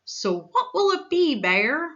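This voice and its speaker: Woman as a clever, lively storybook trickster hare. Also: high voice, takes it slowly, dull audio, voice pushed up, heavily acted. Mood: persuasive. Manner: eager salesman-like patter.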